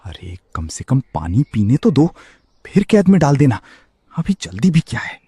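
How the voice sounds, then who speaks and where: A man's voice: mid-range voice, sing-song, moderate pace, dark tone, slightly rough, no room sound. A man voicing a meek, submissive jungle animal in a children's cartoon story. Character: meek, pleading male jungle animal underling. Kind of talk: animated children's fable acting.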